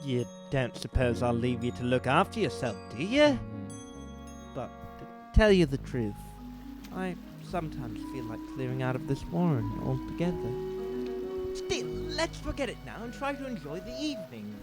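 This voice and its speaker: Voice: mid-range voice, huge pitch swings, moderate pace. A performer voicing a sensible, protective young male rabbit, earnest and reassuring, in a comic dub. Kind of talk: animated comic dub of a novel. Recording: music behind the voice. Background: music.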